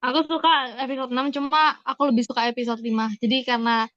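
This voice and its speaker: A woman's voice: high-pitched, quick pace, fairly bright tone, no room sound. An Indonesian woman chatting casually as a guest on a podcast. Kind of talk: casual chat. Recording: slightly dull, dry close sound.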